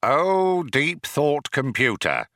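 A man's voice: a little high, very animated, takes it slowly, a bit bright, no room sound, studio quality, voice pushed up, full character voice. A man voicing an eager, easily flustered computer programmer in a story, urgent and breathless. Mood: eager, awed.

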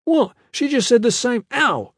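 A man's voice: very high-pitched, very animated, measured pace, no echo, clean studio sound, voice pushed up, exaggerated voice. A man voicing a blunt, indignant, comic young squad member in an audiobook story, plain-spoken and protesting.

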